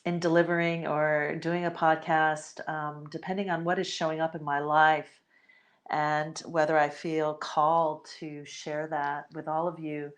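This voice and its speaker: A woman with a notably low voice talks unscripted into a close microphone. She drifts from thought to thought, pausing on fillers and starting sentences over, in the easy tone of a spiritual podcast host. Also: flat delivery. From United States. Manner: reflective, meandering with many fillers and restarts. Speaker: podcast host, shamanic healer. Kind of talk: spontaneous spiritual podcast talk.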